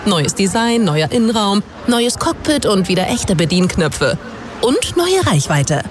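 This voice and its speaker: Woman, commercial dialogue, perky, emphatic, lively pitch. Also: unhurried, slight background noise.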